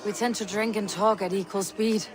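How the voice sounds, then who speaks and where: Woman playing a mid-pitched, steadfast Viking warrior woman. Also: fairly even intonation, moderate pace, natural voice. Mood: wry, friendly. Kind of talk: dramatic game cutscene dialogue.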